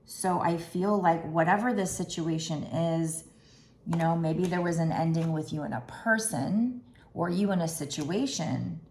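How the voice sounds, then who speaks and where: A woman doing a tarot card reading, talking gently and musingly with restarts, her throat a little raspy. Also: lowish voice, measured pace, echoey room, slight background noise. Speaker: tarot reader.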